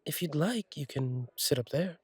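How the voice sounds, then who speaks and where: Husky, gentle man playing an angel bartender. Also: fairly high pitch, lively intonation, no room sound. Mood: warm, reassuring. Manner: gentle, considerate, conversational with thoughtful pauses. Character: friendly male angel bartender, attentive and courteous. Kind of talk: intimate roleplay audio acting, one side of a conversation.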